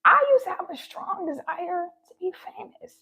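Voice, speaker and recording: A woman's voice: very high voice, normal speed, sharp tone. A woman giving a heartfelt spiritual talk, her voice shaky and sounding close to tears. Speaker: spiritual vlogger.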